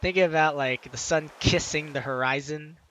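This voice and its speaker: Guy doing a let's play, bright highish voice, chatty, lots of little asides. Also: moderate pace, rough recording. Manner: animated, chatty, self-interrupting asides. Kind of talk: game commentary mixed with reading dialogue aloud.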